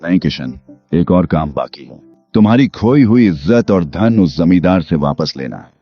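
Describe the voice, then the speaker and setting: An actor playing the stern, avenging ghost of a murdered village headman in an animated moral story, with a commanding, theatrical delivery. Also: low voice, moderate pace, slightly rough. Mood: solemn. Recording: dull lo-fi audio. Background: music.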